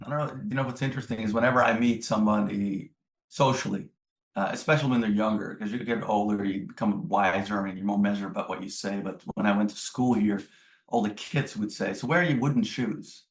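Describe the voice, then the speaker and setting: A middle-aged man with a fairly low voice, recorded in an echoing room. He is a native Dutch speaker from the Netherlands, talking reflectively and conversationally as an interview guest. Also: fairly quick. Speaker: podcast guest, business executive. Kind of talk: conversational interview answers, reminiscing. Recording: roomy, echoing sound.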